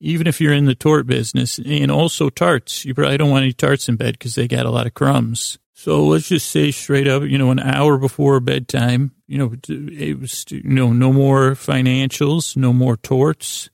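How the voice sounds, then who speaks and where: A man hosting a bedtime podcast in a creaky, gravelly voice, rambling and halting, repeating himself and wandering into absurd asides. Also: mid-range voice, steady tone, a little mellow, dry recording, clean studio sound. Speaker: podcast host. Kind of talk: rambling bedtime monologue to help listeners sleep.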